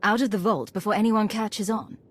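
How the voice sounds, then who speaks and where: An actress playing a measured, doubtful female space crew member in a video game. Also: mid-range voice, breathy edge, close to an everyday voice. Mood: wary.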